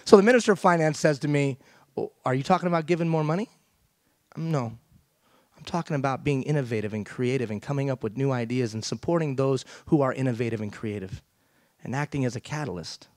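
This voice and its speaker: A man's voice: mid-range voice, quick pace, a little mellow, no echo, clean studio sound. A middle-aged man from Iran giving an emphatic motivational keynote on a large theater stage, often throwing questions out to the audience. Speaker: keynote speaker. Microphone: headset mic.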